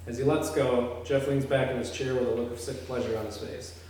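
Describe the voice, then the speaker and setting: A man's voice: mid-range voice, fairly even intonation, fairly quick, darkish tone. A man reading script directions aloud at a table read and chatting casually about them. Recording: echoey room, distant mic, faint noise.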